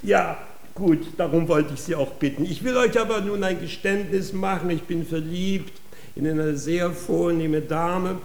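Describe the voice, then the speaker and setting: An actor in a comic radio play, playing a naive, vain gentleman pupil who is childishly delighted and exclaims and repeats himself. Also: fairly high pitch, a little slow, vocal fry, roomy sound. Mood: earnest, coy. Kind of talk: theatrical classic-comedy radio acting.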